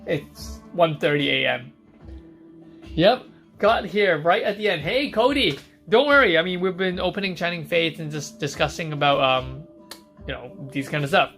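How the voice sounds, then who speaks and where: A man's voice: on the high side, moderate pace, vocal fry, a bit bright, soft background music. A man from Hong Kong hosting a casual live stream, reading out viewer questions and answering them on the spot.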